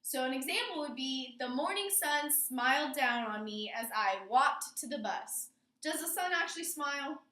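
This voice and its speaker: A woman teacher explaining a lesson to her pupils, often pausing to check in with questions. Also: high-pitched, expressive, bright, forceful tone. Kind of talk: classroom lesson on figurative language. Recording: roomy echo, distant microphone.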